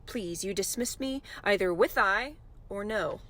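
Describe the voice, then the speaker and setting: A woman's voice: medium pitch, unhurried, fairly bright tone, lightly acted. An actress playing a proud, wary widowed mother petitioning a king, polite but firm in theatrical Shakespearean dialogue. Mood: guarded refusal.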